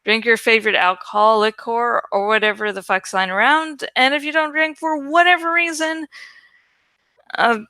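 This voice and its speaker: A woman's voice: fairly high pitch, steady tone, normal speed, sharp tone, no room sound. A woman host talking casually to her viewers, rambling in an irreverent, sweary, giggly way. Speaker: host of a reading channel.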